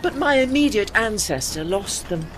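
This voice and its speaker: A woman's voice: mid-range voice, animated, moderate pace, a little hiss or hum, close to an everyday voice, rough recording. An actress playing a haughty, dry-witted old lady ship with an arch, formal manner in a period audio drama. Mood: arch, wry.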